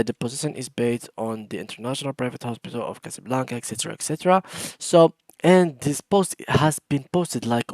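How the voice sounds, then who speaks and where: A man's voice: mid-range voice, no room sound. A man with a Moroccan accent giving a casual screen-share tutorial, explaining informally with some hesitation and repetition. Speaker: tutorial host.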